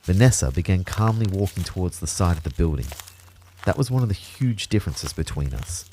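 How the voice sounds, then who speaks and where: A man's voice: sits low, big pitch swings, fairly quick, mellow, slight background noise. An actor playing an anxious everyman who narrates a sci-fi audio drama in a wry, understated way. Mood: wry, uneasy. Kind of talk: scripted first-person audio drama narration.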